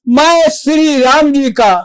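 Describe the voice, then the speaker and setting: A stage actor performing a devotional monologue as a reverent, valiant divine monkey messenger, with formal, declamatory diction and dramatic pauses. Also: high voice, dry recording.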